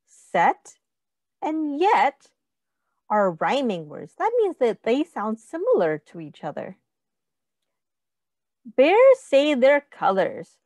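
A woman teacher reading a picture book aloud to young children in a sing-song, deliberate, instructive way, with counting and questions. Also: fairly high pitch, wildly swooping pitch, darkish tone, no echo, studio quality.

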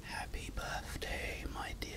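An ancient, courtly vampire played by a middle-aged man whispers extremely slowly in an intimate ASMR roleplay. Each phrase is formal and deliberate, breathy and seductive. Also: close to an everyday voice. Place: small home bedroom, indoors.

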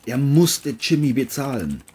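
A man's voice: mid-range voice, big pitch swings, a little slow, darkish tone, breathy edge. A man reading scripted narration for an audio crime story, as its narrator.

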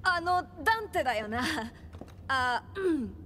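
A woman playing a brash, starstruck young gunsmith in a game, stammering and gushing in rough speech. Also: sits high, sing-song, unhurried, noisy background. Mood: starstruck, flustered. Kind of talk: video game cutscene acting.

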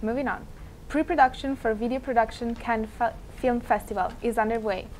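A woman's voice: on the high side, brisk, darkish tone, slight background noise, poor audio. A teenage girl from Italy, a student anchor reading school announcements in a school TV studio. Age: teens.

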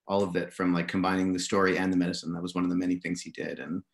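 A man on a video call panel, relaxed and plain-spoken. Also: deep voice, rapid-fire, slight background noise. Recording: echoey room on video call.

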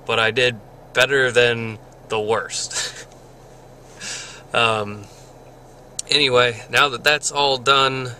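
A man's voice: mid-range voice, fairly even intonation, slow, pauses often, bright, forceful tone, a little hiss or hum. A man in his twenties talking casually to a handheld camera from his parked car, rambling and correcting himself with small chuckles. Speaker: vlogger. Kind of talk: casual video diary. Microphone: handheld camera at arm's length.

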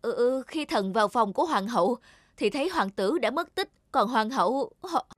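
A woman's voice: fairly high pitch, expressive, moderate pace, no room sound, studio quality. Woman voicing an anxious, deferential royal guard. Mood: panicked, stammering. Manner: deferential, hesitant.

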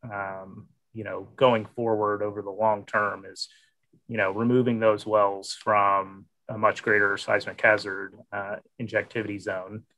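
Thirties male panelist, flat delivery, bright edge, webcam call. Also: fairly low pitch, a little slow, rough recording. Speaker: regulatory manager panelist. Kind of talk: panel discussion.

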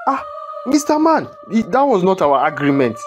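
A man's voice: sits high, sing-song, moderate pace, noisy background. A man with a Nigerian accent playing a desperate poor husband in a film drama, stammering and repeating his words. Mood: indignant, defiant. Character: desperate poor husband entangled in a money ritual. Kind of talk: melodramatic film acting.

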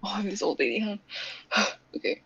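A woman's voice: mid-range voice, lively intonation, fairly quick, highs cut off, a little hiss or hum. A woman chatting casually among friends as one of the readers in a group readthrough.

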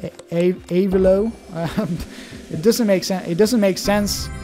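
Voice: medium pitch, fairly even intonation, unhurried, mellow, faint music behind. A young adult from Belgium, a native Dutch speaker with a Belgian Dutch accent, chatting casually in hesitant, restart-filled commentary while opening card packs.

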